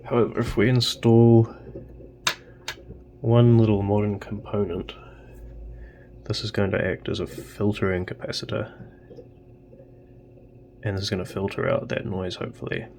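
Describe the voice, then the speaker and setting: A man narrating casually and haltingly as he tinkers at a workbench, thinking aloud. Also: lowish voice, level tone, dark tone, slight background noise. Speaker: host of electronics tinkering videos.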